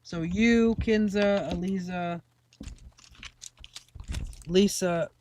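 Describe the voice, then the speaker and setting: A woman's voice: lowish voice, drawn-out words, lo-fi sound, noisy background. A woman doing a casual live-stream tarot reading, chatty and rambling with many fillers. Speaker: tarot reader.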